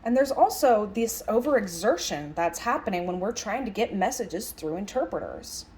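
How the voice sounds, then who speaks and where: A woman interprets a lecture aloud at a middling pitch that moves with lively swings. She sounds conversational and reflective, leaning on key words as she muses through the ideas. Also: moderate pace, slight background noise. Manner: musing, emphatic phrasing with rhetorical questions. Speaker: sign language interpreter voicing a signed lecture.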